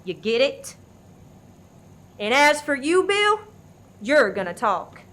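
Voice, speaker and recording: A woman's voice: high voice, very animated, slow, fairly bright tone, heavily acted. A woman acting as a bossy, threatening interrogator in a comedic radio play, barking orders in a commanding way. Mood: menacing.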